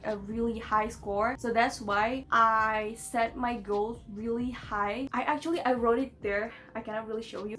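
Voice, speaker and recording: A woman's voice: a little high, slight background noise. Chatty Indonesian-accented young woman. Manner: chatty, giggly, many fillers like "like" and "you know". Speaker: vlogger. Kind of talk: casual chat to camera.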